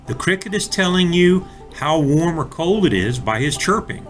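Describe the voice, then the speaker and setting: A man reading a storybook aloud for young children, deliberate and expressive, speaking directly to his listeners. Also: on the high side, faint music behind. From North Carolina, United States. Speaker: storybook reader for children, school resource officer.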